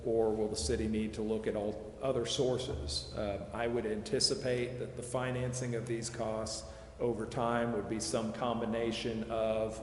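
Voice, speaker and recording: A man with a fairly low voice presents at a public meeting. He explains methodically and qualifies his points as he goes. The room is echoey with long reverb, and faint noise sits behind him. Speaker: presenter answering committee questions on project financing. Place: large echoey council chamber. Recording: echoey hall reverb, clear background noise.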